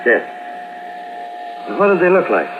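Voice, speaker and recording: A steady, wry police lieutenant in an old radio thriller. His questioning is dry and clipped, yet the pitch moves widely. It comes through a narrow, hissy vintage recording. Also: a little slow. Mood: businesslike, dry. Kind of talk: 1950s radio drama acting.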